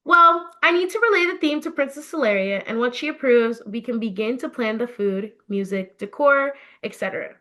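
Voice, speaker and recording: Woman voicing a brisk, bright-voiced young princess. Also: fairly high pitch, expressive. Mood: brisk, composed. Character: young princess, brisk organized committee leader. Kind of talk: storybook dialogue.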